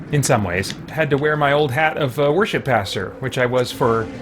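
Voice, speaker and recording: A middle-aged man commentates casually on a live game stream. He rambles and thinks aloud at an ordinary pitch. There is faint noise behind his voice. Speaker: livestream host playing a video game. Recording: noticeable background noise.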